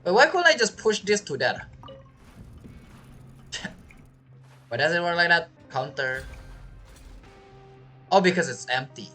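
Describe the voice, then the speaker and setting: Casting: casual game streamer, bright mid-pitched voice, animated intonation, halting muttered commentary. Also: soft background music. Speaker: gaming streamer.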